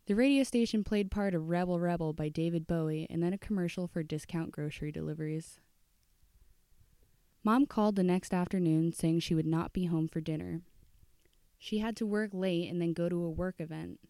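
A woman's voice: on the low side, moderate pace, warm tone, clear, resonant tone, no echo, studio quality. A woman narrating a scripted work of fiction as an audiobook reader.

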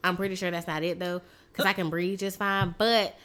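Raspy woman with a cold, chatting. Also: fairly low pitch, brisk. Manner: chatty, animated storytelling with many fillers. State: hoarse from a cold. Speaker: podcast co-host; teacher. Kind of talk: casual chat.

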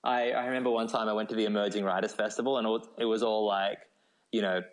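A man's voice: lowish voice, level tone, fast talker. An Australian man answering as an interview guest in an informal, rambling way, peppering his talk with filler words. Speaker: novelist guest. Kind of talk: casual chatty interview answers.

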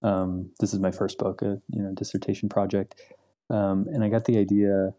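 Deep flat-voiced young scholar, a man. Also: moderate pace. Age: young adult. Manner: thoughtful, hesitant with many ums and repeats. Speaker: guest, literature professor. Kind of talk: interview answers.

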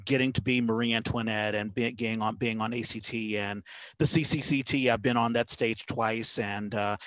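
A man co-hosting a podcast chat, talking casually and haltingly with repeated phrases. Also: fairly low pitch, fairly even intonation, a bit bright, no echo. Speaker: podcast co-host.

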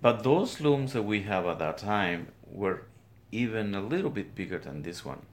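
An older man with a Guatemalan Spanish accent recounts his life as a weaver in an interview, speaking plainly and haltingly in his studio. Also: on the low side, expressive, a little hiss or hum. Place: weaving studio, indoors.

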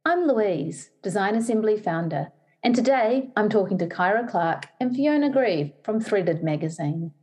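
A woman with a New Zealand accent reading a measured, scripted introduction as a podcast host. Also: mid-range voice, animated, a little mellow.

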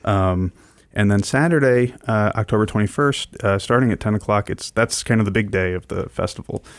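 Male radio co-host, lower register, close dry sound. Enthusiastic, informal explainer who pauses and repeats words. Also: no echo. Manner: hesitant, frequently pausing and repeating words. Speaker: radio co-host and festival programmer. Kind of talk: informal radio program talk, explaining events.

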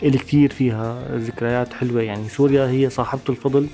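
A man with a Syrian Arabic accent, a musician giving a thoughtful interview, pausing and restarting mid-phrase. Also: mid-range voice, steady tone, dark tone, soft background music. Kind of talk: reflective interview talk.

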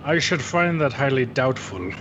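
A man's voice: medium pitch, lively intonation, normal speed, a bit bright, lightly acted. A man playing a curt, stuffy astronomy professor in a 1930s radio drama spoof, terse and formal. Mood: dry, dismissive. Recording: dull band-limited sound, faint noise.

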